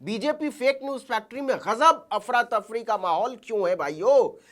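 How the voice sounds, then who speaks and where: A woman's voice: fairly high pitch, animated, moderate pace. A woman delivering satirical political commentary as a news commentator, with a mocking, theatrical delivery.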